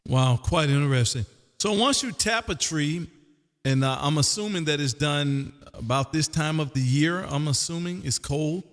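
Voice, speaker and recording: A man with a somewhat high voice hosts a casual ham radio chat. He sounds curious and informal, and his voice carries a long reverberant tail. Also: a bit bright. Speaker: livestream host, amateur radio operator. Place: radio room.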